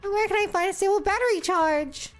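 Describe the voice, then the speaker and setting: A woman's voice: very high voice, fairly quick, faint music behind, pitched above the performer's own voice. A woman voicing a forlorn, empty-headed little robot helper from a video game, naive and earnest. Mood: puzzled.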